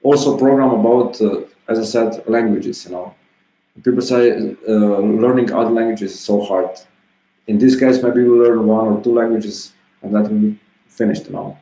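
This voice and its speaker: A man's voice: on the low side, fairly even intonation, normal speed, mellow. A man in his thirties giving a meandering, informal motivational monologue, leaning on filler phrases as he talks. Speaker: self-help vlogger. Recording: roomy echo.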